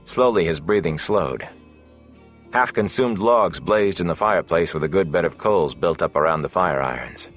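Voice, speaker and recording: A man with a low voice narrates an epic fantasy tale. His delivery is measured and deliberate, shaped like dramatic scripted storytelling. Faint music plays behind him. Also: animated, a bit bright. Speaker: audiobook narrator.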